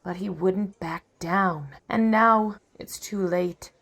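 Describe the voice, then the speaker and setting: An actress playing a dramatic, world-weary femme fatale heiress in a 1940s-style radio play. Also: female voice, medium pitch, takes it slowly, gravelly, a bit bright, full character voice. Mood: pleading.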